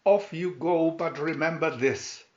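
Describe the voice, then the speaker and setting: A man voicing a sweet, kindly little fairy godmother who muses gently and chants spells in a storybook tale. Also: a little high, a little slow, highs cut off, natural voice. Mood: warning.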